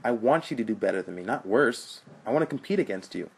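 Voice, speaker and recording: A man's voice: fairly low pitch, quick pace, darkish tone, husky. A man voicing an earnest, lecturing younger brother. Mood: pleading. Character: earnest young college-bound brother, judgmental.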